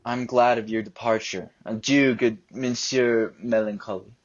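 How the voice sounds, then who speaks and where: A man acting an earnest, wry, lovesick young gentleman in a Shakespearean radio play, husky, on a lo-fi recording. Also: mid-range voice, steady tone, unhurried, natural voice. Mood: wry sparring.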